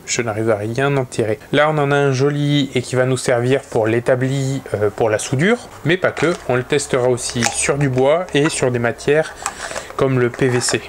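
A man in his thirties casually showing his woodworking workshop, talking in a relaxed way with many pauses and fillers. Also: medium pitch, noisy background. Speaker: woodworking channel host. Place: indoor wood workshop.

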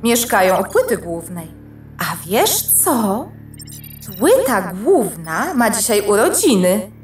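Little boastful byte guy for a kids audio play, roomy echo, bouncy swooping pitch. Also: sing-song, takes it slowly, far from the mic, soft background music. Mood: proud. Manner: boastful, playful, emphatic. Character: tiny, proud, chatty male byte creature inside a computer. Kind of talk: children's audio drama.

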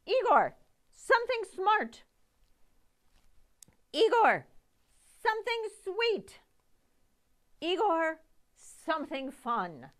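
Storybook girl inventor, played by a woman: high, urgent, commanding, excitable. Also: very slow, pauses often, exaggerated voice. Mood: excited commanding.

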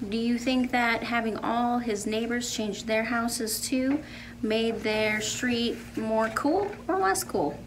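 Deliberate woman reading a storybook. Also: a little high, low-quality recording. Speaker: storytime reader and art teacher. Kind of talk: picture book read-aloud for children. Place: indoor room with painted wall. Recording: faint room background noise.

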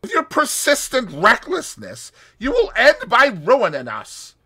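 A frantic Russian conspirator, bitter and jumpy, voice leaping high and loud, as a man reading the tale puts him on. He rants and snarls in a strained, animated way, with a bright edge. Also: slow pace, creaky, full character voice. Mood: agitated. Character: excitable Russian male conspirator, bitter and agitated.

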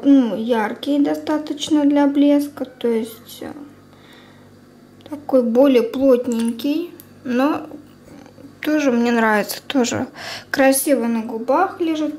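A young woman chatting casually through a product review as a beauty vlogger, informal and unhurried, thinking aloud. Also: high-pitched, mellow. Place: indoors at a table at home. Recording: clear background room noise.

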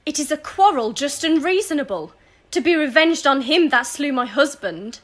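Young woman acting a scornful grieving widow, higher-pitched. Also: lively intonation, moderate pace, fairly bright tone. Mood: indignant. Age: young adult. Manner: scornful, cursing. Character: bitter grieving noble widow, scornful and vengeful. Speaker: student actor. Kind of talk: Jacobean and Shakespearean verse audio drama.

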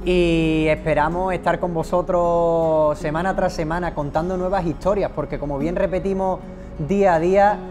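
A man's voice: on the high side, steady tone, moderate pace. A young man interviewing a patient outdoors on a patio, asking leading questions with hesitant restarts. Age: young adult. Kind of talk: promotional interview. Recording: music bed underneath. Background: background music.

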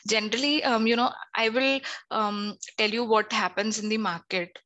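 A woman's voice: on the high side, fairly even intonation, normal speed, pushed and bright, highs cut off. A young woman from India on a headset video call, explaining law hesitantly with many fillers. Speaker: legal analyst guest.

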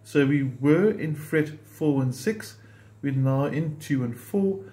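Male ukulele teacher, mellow tone, patient and methodical, explains while he plays. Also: fairly high pitch, takes it slowly. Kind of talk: step-by-step instrument tutorial.

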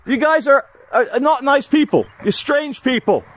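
A man in his thirties filming himself outdoors on a driveway with a handheld camera, talking loudly, pleading and indignant. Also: extremely high pitch, normal speed. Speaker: YouTuber filming a confrontation. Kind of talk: confrontational self-filmed vlog. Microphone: handheld camera mic.

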